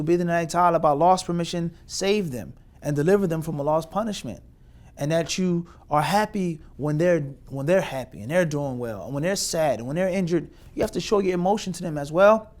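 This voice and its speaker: A man's voice: on the high side, a little mellow. A man from the United States, a mufti, giving graduates an emphatic spoken lecture with long rhetorical lists and Arabic religious terms.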